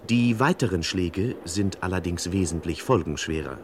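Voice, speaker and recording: An actor playing a wry, pompous, mock-solemn narrator of a comic crime radio play. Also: male voice, sits low, animated, normal speed, heavy background noise.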